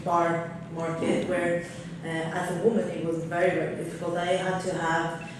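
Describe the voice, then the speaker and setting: A woman from Norway speaking on a seminar panel, candid and thinking aloud with fillers, in a large hotel conference room. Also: lowish voice. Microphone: table mic heard from far off. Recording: very echoey room, far from the mic, noisy.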